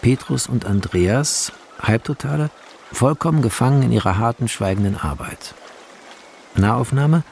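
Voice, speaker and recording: A man's voice: medium pitch, lively intonation, moderate pace, vocal fry, a little mellow, slight background noise. A man reading screenplay directions as scripted narration in a radio drama.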